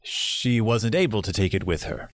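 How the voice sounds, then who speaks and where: An actor in an audio drama playing a courteous, lonely grandfather in his seventies, speaking gently and reflectively. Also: fairly low pitch, big pitch swings, moderate pace, no room sound. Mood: gentle, courteous.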